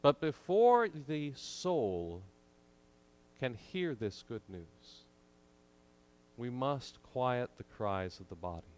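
Slow, measured preaching man. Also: mid-range voice, big pitch swings, darkish tone. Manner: measured, emphatic preaching with pauses. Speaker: pastor. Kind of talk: sermon. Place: church sanctuary, at the pulpit. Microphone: pulpit microphone.

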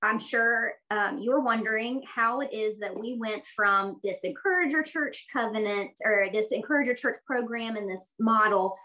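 A woman's voice: fairly high pitch, moderate pace, few pauses, bright, forceful tone, poor audio. A woman in her thirties hosting a church interview over a video call, friendly, with many ums. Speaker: church missions host. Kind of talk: interview introduction. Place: office room.

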